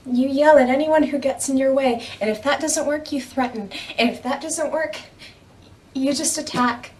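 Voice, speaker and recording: An actress playing an earnest woman who mediates in a measured way but stands up to bullies, in a naturalistic drama. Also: high voice, darkish tone, close to an everyday voice. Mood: reproachful. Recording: distant microphone, faint background noise.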